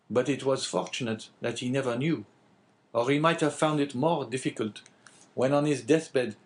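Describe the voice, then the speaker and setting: A man's voice: medium pitch, voice pushed up, lightly acted. A man voicing a bitter, wavering, talkative innkeeper in an audiobook, with a plaintive and emphatic manner.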